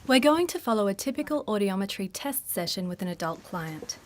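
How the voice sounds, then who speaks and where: A woman delivers scripted educational narration. Her voice sits at an average pitch, and she explains each step formally and methodically, like the narrator of a training video. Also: lively intonation, brisk, slight background noise.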